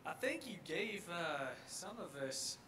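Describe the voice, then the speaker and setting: A man's voice: on the high side, animated, takes it slowly. Guy cracking self-deprecating jokes in bible class, echoey and far. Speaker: class member. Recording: echoey room, distant mic, noticeable noise.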